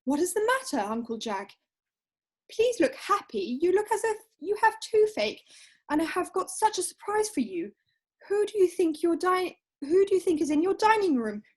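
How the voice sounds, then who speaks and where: A woman's voice: extremely high pitch, big pitch swings, moderate pace. A woman playing a sweet, bossy young Victorian girl, effusive and coaxing, in an amateur play reading. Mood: excited. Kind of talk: amateur play read-through.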